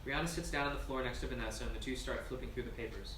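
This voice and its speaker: A man's voice: mid-range voice, very fast. A man reading stage directions as scripted narration at a screenplay table read. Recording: roomy, distant mic with faint noise.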